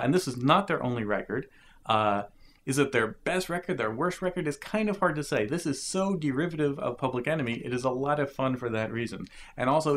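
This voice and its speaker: A man in casual commentary on old hip hop records, talking loosely and thinking aloud as he goes. His pitch sits in an ordinary middle range for a man. He pauses often with fillers. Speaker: music reviewer.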